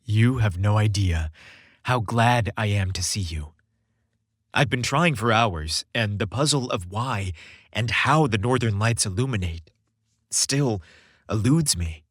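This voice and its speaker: A man's voice: fairly low pitch, lively intonation, unhurried, dry recording, studio quality. A man voicing an earnest, proud young researcher in a storybook romance, wholehearted in manner. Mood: grateful, frustrated.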